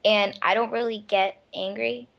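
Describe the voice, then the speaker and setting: A woman's voice: medium pitch, steady tone, moderate pace, gravelly, lo-fi sound. A young woman chatting casually in a gushing way, peppering her talk with "like". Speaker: amateur voice actor. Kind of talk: casual chat.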